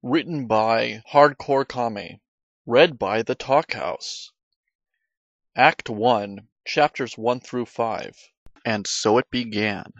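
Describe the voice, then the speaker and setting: Slow male announcer, dull dry recording. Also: mid-range voice, no room sound. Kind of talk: scripted title announcement.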